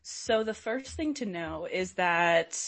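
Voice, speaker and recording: A young woman, a doctoral student, talking as a podcast guest, lively and laughing often, with many "like"s. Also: fairly low pitch, takes it slowly, gravelly. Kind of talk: interview conversation.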